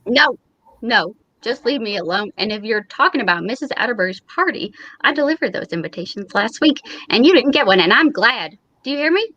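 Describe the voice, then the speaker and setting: A woman's voice: a little high, animated, brisk, bright, forceful tone, no room sound, dull audio. A woman playing a fussy, lovelorn and easily wounded mailman in old time radio comedy style, with dramatic indignation.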